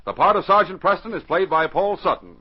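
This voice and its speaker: A man announcing and narrating in dramatic 1940s radio style, emphatic and carefully enunciated, with a husky voice. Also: high voice, brisk. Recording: dull 1940s radio recording.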